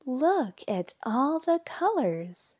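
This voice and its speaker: Lady doing a happy playful little girl mouse from a picture book, eager, lilting, slow. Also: sits high, sing-song, a little mellow, slightly rough, voice pushed up, full character voice. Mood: excited, eager. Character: cheerful playful young female mouse in a storybook.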